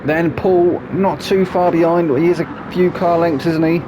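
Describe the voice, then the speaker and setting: Man, race commentator, snuffly nose, higher-pitched voice, car engine noise behind, chatty tag questions. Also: steady tone, measured pace. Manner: chatty, asks tag questions, drops low for asides. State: snuffly from hay fever. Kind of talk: live sim racing commentary.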